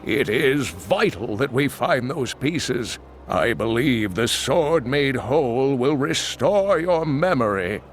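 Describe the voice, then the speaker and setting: Old sage scholar, earnest, lively pitch swings, slow measured speech, faint ambience. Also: male voice, a little hiss or hum. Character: old male scholar and mentor, wise.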